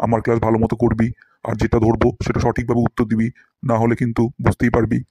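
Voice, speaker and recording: A man's voice: medium pitch, completely flat delivery, fast, warm tone, breathy edge, dry recording, lightly acted. A man voicing a strict, grumbling history teacher in a comedy cartoon, with a bossy, scolding manner. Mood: stern.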